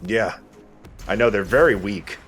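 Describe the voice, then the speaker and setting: A man's voice: lowish voice, unhurried, a bit bright, faint music behind. A man giving casual, joking, slangy commentary as a let's play co-host. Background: video game ambience.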